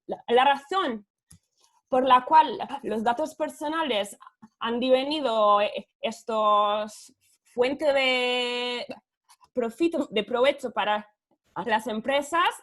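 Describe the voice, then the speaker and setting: A woman's voice: on the high side, takes it slowly, a bit bright. A woman whose first language is Italian, giving an academic panel talk in Spanish over a video call, hesitant and searching for words, with many fillers. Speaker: guest speaker, author.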